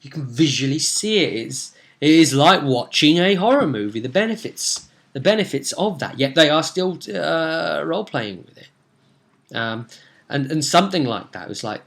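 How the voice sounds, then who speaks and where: A man, casually recounting a tabletop role-playing session in an animated, rambling way and slipping into bits of dialogue. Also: on the high side, normal speed, creaky. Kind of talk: casual rambling storytelling to camera. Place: small home room, indoors.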